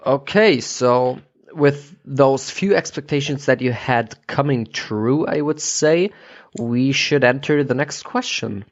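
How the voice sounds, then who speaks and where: A man's voice: medium pitch, takes it slowly. Dull lo-fi recording, man interviewing. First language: German. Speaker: podcast host, interviewer. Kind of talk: casual interview chat. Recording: dull lo-fi audio.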